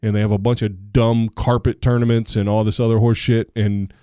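A middle-aged man from the United States trading jokes as a podcast co-host, his talk sarcastic and wandering off on tangents. His voice sits a bit low and sounds dark and mellow, in a dry, close setting with no room echo. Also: flat delivery, brisk, smooth. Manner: joking, sarcastic, rambling. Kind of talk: casual chat.

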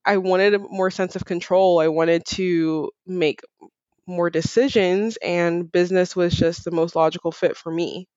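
A woman's voice: on the low side, fairly even intonation, measured pace, darkish tone, no room sound, muffled recording. A woman from Barbados answering casually and informally as a podcast guest, sprinkling in "like" and "you know". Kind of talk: casual conversational interview answers.

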